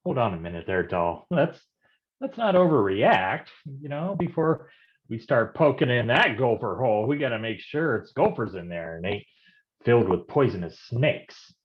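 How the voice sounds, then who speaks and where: Man playing a flustered noir detective, swooping pitch. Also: very animated, moderate pace, lo-fi sound, natural voice. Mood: nervous bluster. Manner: stammering, nervous chuckling, folksy slang. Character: bumbling, flustered male private detective, folksy and easily rattled. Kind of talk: staged reading of a detective noir parody.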